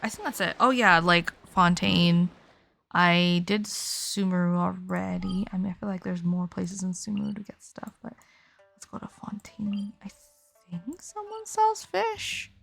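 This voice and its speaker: A woman with a creaky voice chats casually as she streams a game. She mutters her thoughts aloud, blunt and a little sweary, with soft game music behind her. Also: lowish voice, a little slow. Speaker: livestream gamer host. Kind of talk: casual gameplay commentary, thinking aloud.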